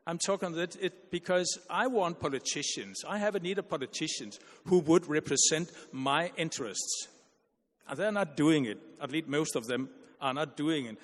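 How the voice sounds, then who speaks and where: A man giving an earnest, argumentative conference panel speech, halting with frequent restarts and repeated words. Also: a little high, fairly bright tone, big-room sound.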